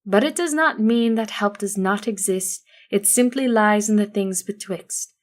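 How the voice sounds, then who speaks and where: Woman playing wise female storybook zebra healer, mid pitch, cryptic, measured rhyming delivery. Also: lightly acted. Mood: solemn. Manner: measured, speaking in rhyming couplets.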